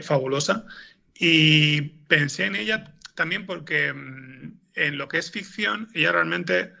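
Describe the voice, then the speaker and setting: A man with a raspy, gravelly voice, answering interview questions in a hesitant way, as if thinking aloud. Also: fairly high pitch, bright, forceful tone, dull audio. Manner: hesitant, thinking aloud, frequent throat clearing. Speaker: interviewed podcast scriptwriter.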